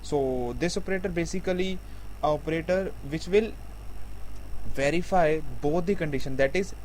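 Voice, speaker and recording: A man giving a programming tutorial, explaining step by step in a halting, repetitive way. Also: a little high, a little mellow, poor audio. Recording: faint background noise.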